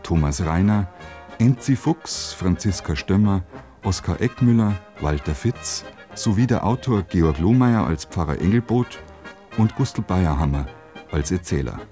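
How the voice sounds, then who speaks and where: A man with a low, mellow voice makes a formal radio announcement. He reads scripted credits over faint brass music. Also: animated, unhurried.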